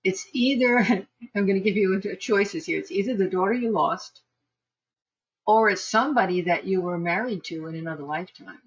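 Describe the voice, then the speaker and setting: A woman giving live psychic astrology readings online, chatty and quick to laugh, with frequent restarts. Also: medium pitch, breathy edge, muffled recording.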